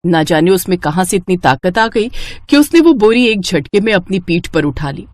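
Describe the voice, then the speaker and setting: A woman's voice: lowish voice, animated, moderate pace, a little mellow, dry recording. A woman giving scripted narration of children's moral stories as a storyteller.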